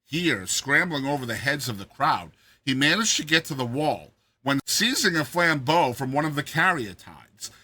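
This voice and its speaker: A man narrating a classic short story as a dramatized reading, with theatrical and deliberate phrasing. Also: a little high, very animated, pushed and bright, low-quality recording.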